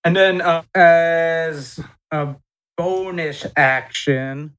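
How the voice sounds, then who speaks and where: A man with a fairly high voice plays a tabletop role-playing game. He talks through his turn in loose, casual table chat. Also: very slow, fairly bright tone, clean tone.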